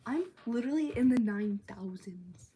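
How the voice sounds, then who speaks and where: A woman's voice: medium pitch, lively intonation, normal speed, warm tone, husky. Rambling, chatty teenage girl livestreamer. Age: teens. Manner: rambling, chatty, filler-heavy talk with frequent "like". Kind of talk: casual livestream chat. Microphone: phone or tablet.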